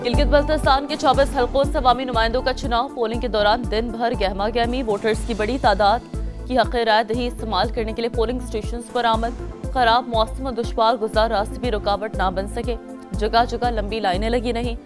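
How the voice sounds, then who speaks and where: A woman news anchor with a Pakistani accent delivers scripted headlines. Her voice sits fairly high, and prominent music plays underneath. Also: steady tone, a little fast. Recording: loud music bed under voice.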